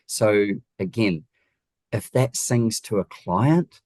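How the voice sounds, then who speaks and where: A man with a low-pitched voice and a New Zealand accent speaks as an interview guest, recorded dry and close. He explains things conversationally and leans on key points with emphasis and rhetorical questions. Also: animated, slow pace, a little mellow, no room sound. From Wellington region, New Zealand. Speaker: guest, home designer.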